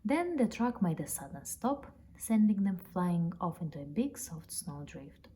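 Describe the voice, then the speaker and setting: A woman reading a children's story aloud in an expressive storytelling style. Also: on the low side, a little slow. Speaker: storybook reader. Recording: noticeable background noise, ambience.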